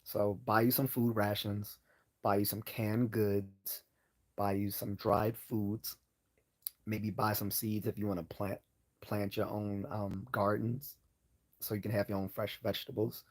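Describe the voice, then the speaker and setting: A man hosting a casual live Q&A, hoarse from losing his voice, rambling with many fillers and restarts as he answers chat questions. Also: fairly low pitch, level tone, unhurried, darkish tone.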